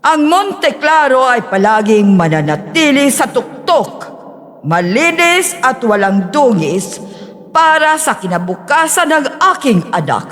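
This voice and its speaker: A woman playing a rich, scheming sixty-year-old matriarch, speaking in an imperious, measured, formal way in an audio drama. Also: high voice, sing-song, fairly bright tone, heavily acted. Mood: grandly gracious. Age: older. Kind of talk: dramatized audio story acting. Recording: long hall reverb.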